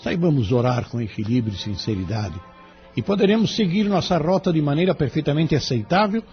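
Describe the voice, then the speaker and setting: A man's voice: fairly high pitch, lively intonation, a little fast, lightly acted. Man as a solemn Brazilian spirit guide, widely swooping. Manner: solemn, exhorting, oratorical. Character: benevolent fatherly male guardian spirit, solemn and consoling. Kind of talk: audio drama monologue. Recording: dull lo-fi audio with music behind.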